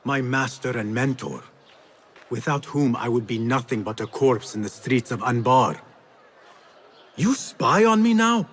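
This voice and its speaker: A man's voice: medium pitch, expressive, a little slow. An actor playing a determined young male assassin apprentice in a video game, earnest and intense. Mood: earnest defiance. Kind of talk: dramatic video game acting.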